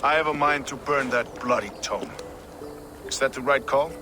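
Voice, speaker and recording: An actor playing a gruff, cynical, world-weary lawman in a video game, blunt and sardonic in manner. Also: mid-range voice, fairly even intonation, normal speed, music in the background, natural voice. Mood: resigned. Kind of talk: dramatic video game acting.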